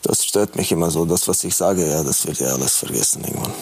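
Man, non-native foreign accent, football coach, low voice, gravelly and husky, hesitant, self-critical. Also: fast talker, darkish tone. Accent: foreign accent in German. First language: not German. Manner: candid, self-critical, hesitant with many fillers. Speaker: football coach, studio guest. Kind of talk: studio interview. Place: TV studio.

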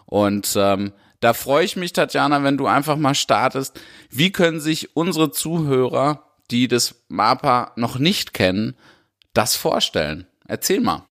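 A man's voice: mid-range voice, lively intonation, unhurried, a bit bright. A man from Karlsruhe hosts an interview podcast and asks friendly strings of questions. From Karlsruhe, Germany. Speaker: podcast host. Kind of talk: casual interview hosting.

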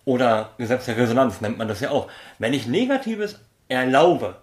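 Casual podcast guest: a blunt, slangy man from Berlin with an animated, swinging delivery. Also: mid-range voice, moderate pace.

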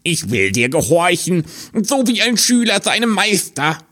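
A man's voice: high voice, big pitch swings, a little slow, a bit bright, pitched above the performer's own voice, exaggerated voice. A man voicing a timid little male hare in a fairy tale, speaking in a pleading, raised voice. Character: small timid male hare, eager pupil. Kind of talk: storybook voice acting.

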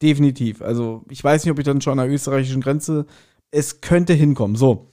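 A man's voice: medium pitch, brisk, dark tone. A man co-hosting a podcast, recapping a story in casual, chatty conversation. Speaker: podcast co-host. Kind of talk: casual chat recapping an audio drama episode.

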